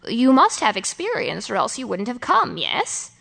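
A woman's voice: fairly high pitch, huge pitch swings, normal speed, vocal fry, fairly bright tone, full character voice. A woman voicing a flustered, wordy male office interviewer in an audiobook, with a fussy, formal and politely hesitant manner. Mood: inquisitive, fussy. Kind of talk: audiobook character dialogue.

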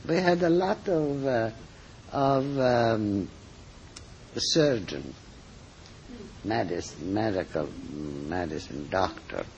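An older man with an Italian accent, a cooking teacher telling stories in an interview, halting and full of fillers and restarts. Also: on the low side, lively intonation, darkish tone, a little hiss or hum. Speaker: cooking school teacher, guest. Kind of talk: interview answers, storytelling. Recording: slightly dull, muffled recording.

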